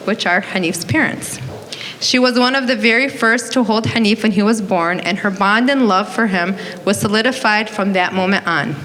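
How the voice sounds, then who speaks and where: A woman's voice: mid-range voice, a bit bright. Woman presenter reading scripted intros at a community event, reverberant hall. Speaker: museum deputy director introducing speakers. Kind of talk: prepared introduction read aloud. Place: auditorium. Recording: reverberant auditorium, faint noise.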